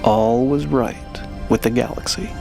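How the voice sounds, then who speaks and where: A man hosting and narrating a science fiction story in a theatrical, deliberate storyteller's manner. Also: fairly high pitch, big pitch swings, creaky, music in the background. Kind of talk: scripted dramatic narration.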